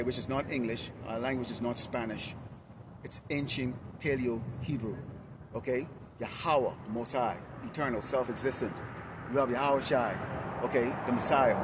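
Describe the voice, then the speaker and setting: A man with an American accent preaching on the street, berating listeners in blunt, profane language between scripture readings. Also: mid-range voice. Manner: berating, profane, repeats "okay?" as a tag. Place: outdoors by a city roadside. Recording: very noisy outdoor street with traffic.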